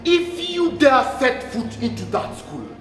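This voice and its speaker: A young male student actor playing an officious, preachy houseboy, commanding in an animated stage-comedy style. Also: very high-pitched, unhurried, faint music behind, heavily acted. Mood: officious, scolding. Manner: officious, mock-biblical, commanding. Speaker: student actor in a school play. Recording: echoey hall with long reverb.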